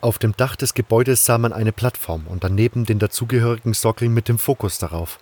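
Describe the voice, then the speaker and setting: Man as a husky, low-voiced adventurer narrator. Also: a little fast, darkish tone, natural voice. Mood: narrating. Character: male adventurer recounting his quest in first person. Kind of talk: scripted audio drama narration.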